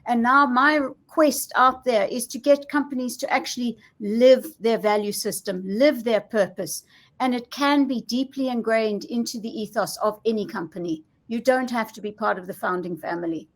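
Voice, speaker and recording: A woman with a fairly high voice speaks firmly on a panel, stacking up parallel phrases to press her point. She sounds sure and deliberate, pushing each idea home. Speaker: panel guest, member of a company's founding family. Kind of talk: panel discussion answer.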